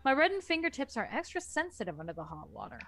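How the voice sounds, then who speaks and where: A woman reading a novel aloud in the first person for a casual book club, in a plain, even delivery. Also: fairly high pitch, fast, no room sound.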